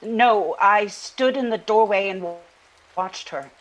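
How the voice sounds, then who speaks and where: A woman playing a shaken witness in an audio drama, giving earnest, halting testimony about a strange night. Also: medium pitch, natural voice, rough recording. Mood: uneasy recall. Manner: halting, earnest, precise recall.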